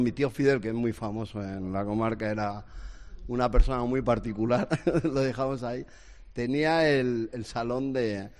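A man's voice: mid-range voice, a little mellow. A man in his sixties from Spain, a guest telling family stories in a casual, hesitant, rambling way. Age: 60s. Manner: rambling, hesitant storytelling with restarts. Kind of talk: casual chat.

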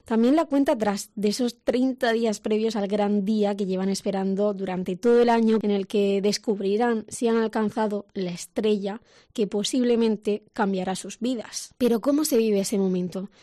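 Mellow-voiced woman reporter. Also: medium pitch, fairly even intonation, normal speed, no echo, clean studio sound. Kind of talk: scripted news report narration.

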